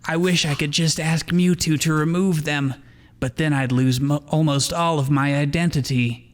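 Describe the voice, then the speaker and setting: A man acting out a brooding, higher-voiced young hero. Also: fairly even intonation, moderate pace, close to an everyday voice. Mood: troubled, bitter. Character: brooding, bitter adult man, a Pokemon trainer. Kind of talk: dramatic fan fiction reading.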